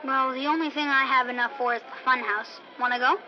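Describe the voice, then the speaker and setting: A woman's voice: high voice, steady tone, fast talker, a faint crowd, lightly acted. A woman voicing an eager, plucky young boy in a 1950s-style radio drama. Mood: casual, curious. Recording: dull, roomy, noisy vintage radio recording.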